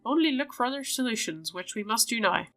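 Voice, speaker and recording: A woman's voice: fairly high pitch, expressive, quick pace, fairly bright tone, soft background music. A woman voicing a cryptic, motherly old witch in a video game, with a coaxing, mysterious storyteller's manner. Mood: cryptic, knowing.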